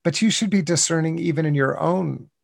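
American man, 60s, gravelly voice, slightly high pitch, dry close sound, earnest and reflective. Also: fairly quick, no echo. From United States. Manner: thoughtful, earnest, many restarts and "you know". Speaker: guest, contemplative writer. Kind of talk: reflective interview talk.

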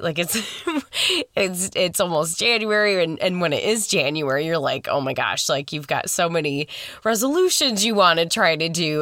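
A woman in her thirties hosting a podcast in casual chat, upbeat and chatty, a little hoarse as she gets over a head cold, recorded in a small closet at home. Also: lowish voice, fairly bright tone, dry recording. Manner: chatty and upbeat, many fillers. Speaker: podcast host.

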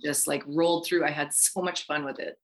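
A middle-aged woman with a slightly low voice chats casually as an interview guest. She talks informally, often quoting her own reactions as she explains things. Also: fairly even intonation, brisk. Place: home recording space, remote.

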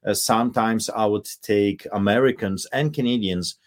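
A middle-aged man with a Polish accent speaks over a video call in a fairly low voice. He presses his points emphatically, stumbling through fillers and restarts as he goes. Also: normal speed. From Poland. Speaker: pastor, guest. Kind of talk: impassioned interview talk.

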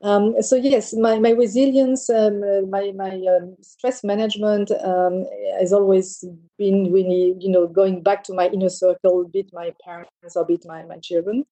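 A woman, answering an interviewer's questions in a halting way. Her voice is warm and mellow in tone, and her sentences stop, restart and fill up with small hesitations. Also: mid-range voice, level tone, rough recording. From Belgium. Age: 50s. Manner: halting, with many fillers and restarts. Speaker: bank executive as interview guest.

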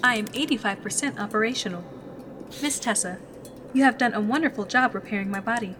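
A woman's voice: on the high side, brisk, noisy background, lightly acted. A woman voicing a polite female android companion who speaks in formal, literal, stilted robotic phrasing in a sci-fi radio drama.

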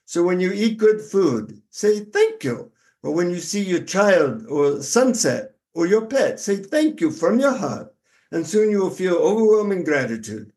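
A man's voice: high voice, sing-song, unhurried, darkish tone. A man voicing a serene, insistent Indian guru in a put-on Indian accent, speaking in a solemn, instructive way.

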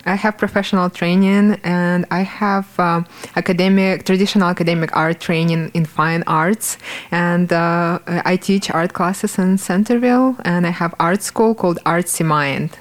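A woman with a Ukrainian accent speaks in a fairly low voice as a guest in a studio. She answers earnestly, pausing and restarting often as she searches for words. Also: fairly even intonation. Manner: earnest, halting, many fillers and restarts. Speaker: art teacher, guest. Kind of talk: interview answers. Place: podcast studio.

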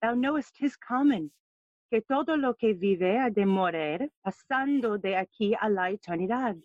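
A woman's voice: medium pitch, expressive, normal speed, dry recording, muffled recording, natural voice. An actress playing a regal middle-aged queen and mother, formal and entreating, in a Shakespearean audio play. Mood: gently reproving.

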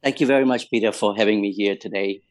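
A man from Myanmar with a Burmese accent, recounting life and history as an interview guest in halting, conversational English phrasing. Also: on the low side, dry recording. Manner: hesitant, halting non-native English phrasing. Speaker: interview guest, community leader.

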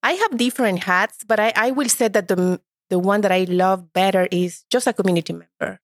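A woman's voice: mid-range voice, a little fast, no echo, studio quality. A woman from El Salvador with a Salvadoran Spanish accent, answering conversationally as a podcast guest. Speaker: podcast guest, community organizer.

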